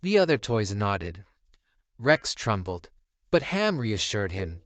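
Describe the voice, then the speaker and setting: A man reading a scripted bedtime story as narrator, in a measured, theatrical manner. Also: on the low side, sing-song, dry recording.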